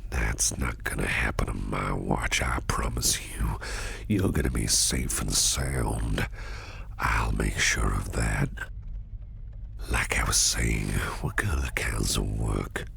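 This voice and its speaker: A man playing a shady businessman boss in an ASMR roleplay, flirtatious and protective, murmuring in a whispery gravelly voice with slow, teasing deliberation. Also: a bass voice, fairly bright tone, slight background noise, heavily acted. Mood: reassuring, sly. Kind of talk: ASMR roleplay, close personal attention.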